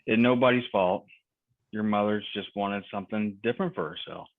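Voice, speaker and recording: A man playing an awkward, resigned father who is gently reassuring in a hesitant manner, in a drama table read. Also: lowish voice, fairly even intonation, brisk, lightly acted. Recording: thin phone-like video call.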